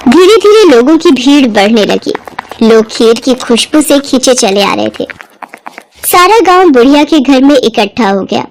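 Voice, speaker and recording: A woman's voice: high-pitched, big pitch swings, normal speed, slight background noise, low-quality recording. A woman reading scripted narration for a devotional storybook tale as the storyteller.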